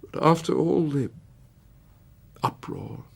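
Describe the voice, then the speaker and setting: A British man giving a theatrical scripted biographical narration, dramatic and emphatic, with weighted pauses. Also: fairly high pitch, lively intonation, gravelly, breathy edge. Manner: dramatic, dwelling on words with long emphatic pauses and swells.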